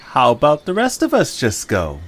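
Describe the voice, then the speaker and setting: A man's voice: medium pitch, huge pitch swings, moderate pace, slight background noise. A man playing a sarcastic, weary young male adventurer in an audio drama, with a dry manner. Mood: wry.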